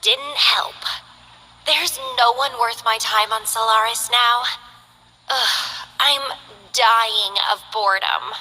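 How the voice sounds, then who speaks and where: A woman's voice: on the high side, takes it slowly, bright, forceful tone, big-room sound, soft background music, exaggerated voice, low-quality recording. A woman voicing a languid, bored young mercenary who loves plants, in game character voice lines with a lazy, teasing drawl.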